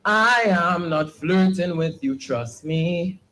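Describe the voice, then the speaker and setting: A young man, performing spoken word poetry with a slow, earnest, pleading delivery at an open mic. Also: high-pitched, animated, pushed and bright. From Trinidad and Tobago.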